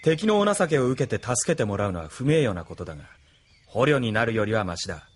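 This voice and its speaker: An actor voicing a cold, ambitious young officer who speaks in a cool, detached way, in a studio radio drama. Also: male voice, medium pitch, sing-song, brisk. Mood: smug. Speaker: military officer and pilot.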